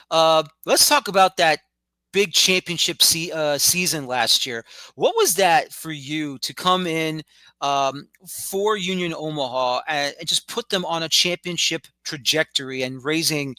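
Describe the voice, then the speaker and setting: A man's voice: a little high, sharp tone, no room sound. A man with a New York accent hosting a sports podcast, asking chatty, rambling questions. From New York City, the Bronx. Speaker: podcast host. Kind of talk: casual sports interview.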